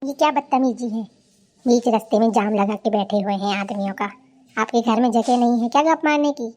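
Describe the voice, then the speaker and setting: Cartoon daughter-in-law, female actor: young, lazy, brash, high soft-toned voice, always complaining and talking down. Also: fairly quick, close to an everyday voice. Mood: scolding. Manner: scornful, bossy, complaining. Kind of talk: cartoon character dialogue.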